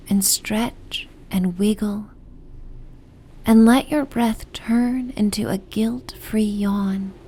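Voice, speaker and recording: A woman narrating a soothing sleep meditation and bedtime story in a gentle, soft, half-whispered, breathy voice. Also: mid-range voice. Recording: steady ocean and wind ambience noise. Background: ocean and wind ambience.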